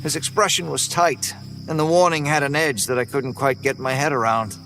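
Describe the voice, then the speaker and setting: A man narrating a first-person horror story in a relaxed, folksy way, over faint music. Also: mid-range voice, normal speed, poor audio.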